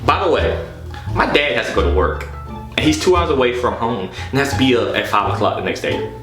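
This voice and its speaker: A man's voice: mid-range voice, big pitch swings, a little fast. A young man telling a personal story casually, in an exasperated, slangy, profane way. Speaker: storytelling vlogger. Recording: echoey room, loud background music. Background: background music.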